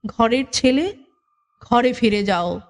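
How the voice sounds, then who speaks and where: A woman playing the sorrowful, determined ghost of a dead mother in a horror audio story, slow, solemn and insistent. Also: fairly high pitch. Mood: anguished, determined.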